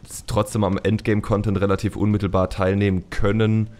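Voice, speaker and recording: A man's voice: on the low side, little pitch movement, fairly quick, darkish tone. A man hosting a casual podcast, putting his thoughts together piece by piece in a hesitant way. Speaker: podcast host.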